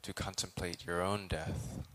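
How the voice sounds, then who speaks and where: A man leading a guided meditation as a Buddhist teacher, speaking in measured phrases with long pauses between them. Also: low-pitched, little pitch movement, a bit bright, low-quality recording.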